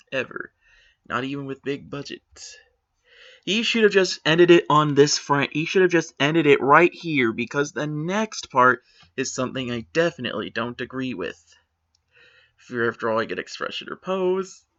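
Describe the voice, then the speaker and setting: A man's voice: fairly high pitch, bright, forceful tone, lo-fi sound. A man giving opinionated commentary on a written post, critical and matter-of-fact with sarcastic asides.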